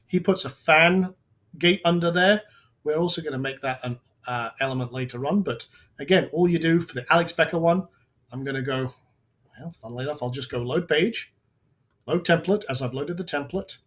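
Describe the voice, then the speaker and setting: Slightly high-voiced man going hoarse. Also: moderate pace, a bit bright. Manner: casual, talks through on-screen steps with frequent restarts. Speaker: webinar host. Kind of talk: live software tutorial with Q&A.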